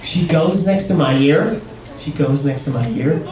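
A man's voice: on the high side, unhurried. A teenage boy performing conversational stand-up comedy with casual, slangy, exclamatory delivery. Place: indoor comedy club stage. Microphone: handheld stage microphone, picked up from afar. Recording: echoey live club, distant, noisy crowd. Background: loud audience noise.

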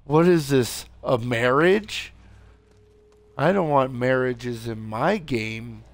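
A tired, hoarse man giving casual let's play commentary, swearing and yelping at the game. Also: mid-range voice, takes it slowly, no echo. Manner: swears a lot, yelps at the game.

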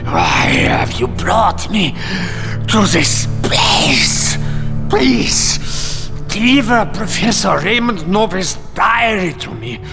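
High, gravelly deranged villain. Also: male voice, big pitch swings, slow, heavily acted. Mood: desperate pleading. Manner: theatrical, pleading and ranting. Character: deranged, imperious male villain, desperate occult fanatic. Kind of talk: video game cutscene acting. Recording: long reverberant hall, music behind.

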